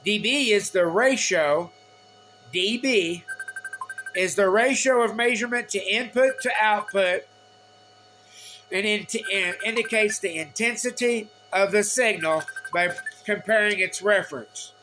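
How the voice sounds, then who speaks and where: Male explainer, high and bright voice, informal, choppy note-reading delivery, slightly noisy recording. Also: soft background music. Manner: halting, repetitive, reads in short phrases. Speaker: explainer of radio antenna measurements.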